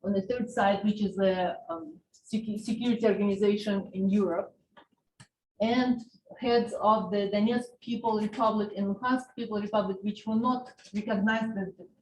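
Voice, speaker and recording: A woman's voice: medium pitch, steady tone. A woman with a Russian accent, a native Russian speaker, giving a university political science lecture, with frequent fillers and restarts. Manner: halting, many fillers and restarts. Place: lecture hall. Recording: roomy hall with faint noise.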